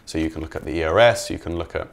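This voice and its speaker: Low-pitched man, casual game designer. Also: very fast, vocal fry. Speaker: video game designer being interviewed. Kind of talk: casual interview explanation.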